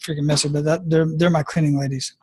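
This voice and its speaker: Middle-aged man, from Arizona, fairly high voice, easygoing, casual co-host. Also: flat delivery, fast, a little mellow, breathy edge. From Phoenix, Arizona. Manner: easygoing, agreeable, with frequent short acknowledgements. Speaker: podcast co-host. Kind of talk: casual chat.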